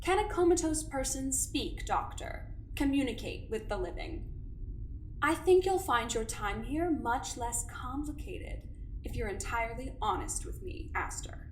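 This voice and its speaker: A silky, condescending female doctor, played by a woman probes her subjects with cold politeness. Her voice sits a touch high. It rings around an echoey room with a faint hiss. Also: lively intonation, unhurried. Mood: coolly probing. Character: smooth, manipulative female doctor interrogating coldly. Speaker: doctor and investigator. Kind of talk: audio drama acting.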